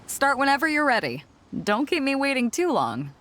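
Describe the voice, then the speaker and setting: A woman's voice: high-pitched, big pitch swings, moderate pace, noisy background. A woman voicing a bold, confident captain of an armed pirate fleet in a video game, with a commanding, teasing manner. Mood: firm, instructive. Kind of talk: video game character acting.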